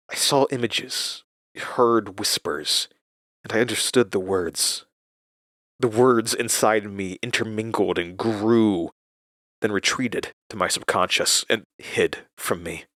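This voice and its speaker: Slow, haunted horror-drama narrator. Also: male voice, lowish voice, pushed and bright, no echo, heavily acted. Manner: halting, confessional, dramatic pauses. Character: haunted young man confiding his supernatural ordeal, weary, rattled. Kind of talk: first-person horror audio drama monologue. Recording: music behind the voice.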